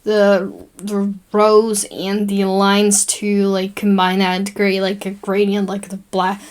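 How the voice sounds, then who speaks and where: A young Dutch woman with a Dutch accent, a native Dutch speaker, talking through a design-software tutorial in a chatty, rambling way, full of fillers and self-corrections. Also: mid-range voice, monotone, slow, smooth. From Netherlands. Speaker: tutorial host. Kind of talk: casual screen-recording tutorial voice-over.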